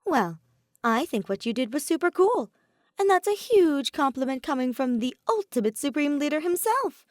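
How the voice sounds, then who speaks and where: A woman voicing a cocky, mischievous teenage boy who talks loud and proud in a teasing way, in a fan fiction audiobook. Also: high-pitched, very animated, moderate pace, no echo, studio quality, voice pushed up. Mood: boastful, teasing. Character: cocky, mischievous teenage boy, a self-styled supreme leader.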